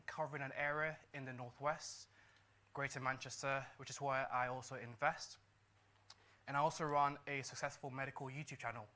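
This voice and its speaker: A man's voice: on the high side, bright, forceful tone. A middle-aged man from Ghana, a doctor, telling his success story to a live audience in an earnest way, repeating key lines for emphasis. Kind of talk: motivational talk to a live audience.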